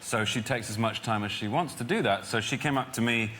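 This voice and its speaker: A man's voice: medium pitch, fairly quick, bright, forceful tone. A man, a talk show guest, telling wry, self-deprecating anecdotes in a TV studio. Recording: noisy studio recording.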